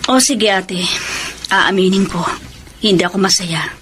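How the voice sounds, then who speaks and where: A woman's voice: medium pitch, unhurried, background noise, close to an everyday voice. A Filipino woman playing a devoted, lonely middle-aged wife in an emotional Tagalog radio drama. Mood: sad, earnest. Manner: plaintive, emotional. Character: long-suffering, devoted, lonely wife and mother.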